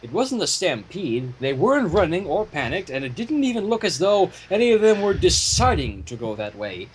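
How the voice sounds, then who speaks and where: A man reading fan fiction aloud in an expressive way, with casual asides. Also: high voice, moderate pace, rough recording. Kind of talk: story narration with casual commentary. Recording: home microphone with clear noise.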